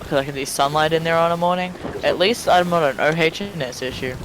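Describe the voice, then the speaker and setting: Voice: mid-range voice, fast talker, noisy background. A person chatting casually on a game stream and sometimes reading a story aloud, over voice chat with game ambience.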